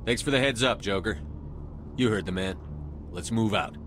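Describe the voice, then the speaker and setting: Man playing a low-voiced, commanding military officer. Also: noisy background, lightly acted. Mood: businesslike. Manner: firm, measured, authoritative. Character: steady, commanding male military officer in his thirties. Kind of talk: video game voice acting.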